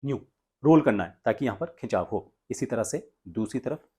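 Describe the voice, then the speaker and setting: A man's voice: medium pitch, animated, normal speed, dark tone, husky. A man from India presenting an instructional tutorial as a voice coach, explaining exercises step by step.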